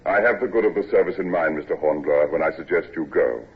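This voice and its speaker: A tired, ailing old sea captain speaks in a very deep, husky voice, stopping often to hem and haw. The classic radio play comes through a thin, tinny old broadcast recording. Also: male voice, fast, exaggerated voice. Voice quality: husky, hesitant with frequent uh's. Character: ailing, outworn old male navy captain, gruff. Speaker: ship's captain. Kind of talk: 1940s-50s radio acting.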